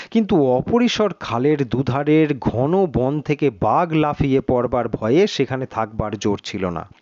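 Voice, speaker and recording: A man reading a suspense story in a measured, literary, dramatic narration. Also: mid-range voice, a little mellow, no echo, dull audio.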